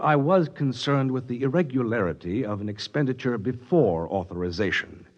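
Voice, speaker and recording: An actor playing a cautious, self-justifying middle-aged American executive, formal and blunt, in 1950s radio drama style. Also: male voice, deep voice, slightly rough. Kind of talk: 1950s radio drama acting, part testimony, part dialogue. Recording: dull vintage 1950s radio recording.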